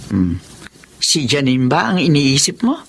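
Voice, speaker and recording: A woman's voice: very deep voice, sing-song, unhurried, vocal fry, slight background noise, exaggerated voice. An actress with a Filipino accent playing a caring middle-aged mother in a radio drama, earnest, firm and encouraging. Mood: concerned.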